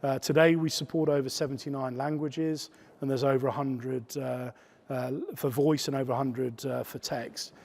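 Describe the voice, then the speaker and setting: Man with a gravelly voice, presenting on stage. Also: mid-range voice, level tone, normal speed, a little mellow. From United Kingdom. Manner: hesitant, many fillers and restarts. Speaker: company CEO giving a keynote talk. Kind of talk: conference presentation.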